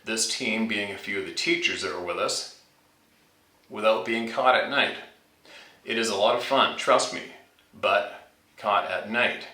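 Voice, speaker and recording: Male story-channel host, lowish pitch, informal read-aloud delivery, distant roomy recording. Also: a little slow, long pauses, sharp tone. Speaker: channel host reading out a viewer's submitted story. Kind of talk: reading a listener's scary story aloud, informal. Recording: roomy echo, distant from mic.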